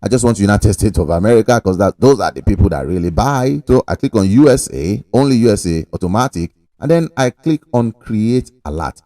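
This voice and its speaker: Animated man with a mellow dry voice. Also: fairly low pitch, a little fast, hardly any pauses, no echo. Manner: animated, talks to the viewer directly, pushy salesman-like emphasis. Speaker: online money-making tutorial host. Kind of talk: casual screen-recording tutorial.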